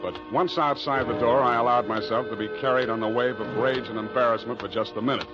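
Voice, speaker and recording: A man playing a sardonic private detective, deadpan as he talks and narrates in an old radio drama. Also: medium pitch, fast, natural voice. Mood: wry narrating. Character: wry, hard-boiled male private detective. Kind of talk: old-time radio drama acting with first-person narration. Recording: dull vintage radio recording, music behind.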